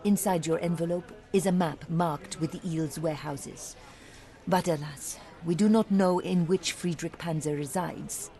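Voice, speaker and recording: A playful young woman journalist teases and spins headlines in a detective game. Her voice sits a little low, and her delivery is theatrical and sly. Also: unhurried, dark tone, soft background music. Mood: businesslike. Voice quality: teasing, theatrical.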